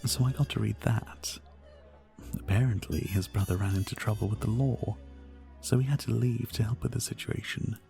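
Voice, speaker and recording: A man voicing a charming, flirtatious vampire bartender in an ASMR roleplay, speaking in a soft, courteous, teasing and unhurried way. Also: fairly low pitch, steady tone, creaky, faint music behind. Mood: gentle, sympathetic. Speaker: roleplay audio performer. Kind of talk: ASMR roleplay, addressing the listener.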